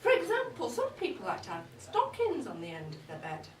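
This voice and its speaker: A woman's voice: high voice, wildly swooping pitch, brisk. Cheeky older woman, acted out by a woman, distant and roomy. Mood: gossipy, cheeky. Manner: wry, bawdy, confiding, chatty. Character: cheeky, boozy older mother-in-law gossiping at Christmas. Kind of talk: comic dramatic monologue read to a live audience. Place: indoor live event at a pub. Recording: roomy, distant live recording with audience noise. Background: audience crowd.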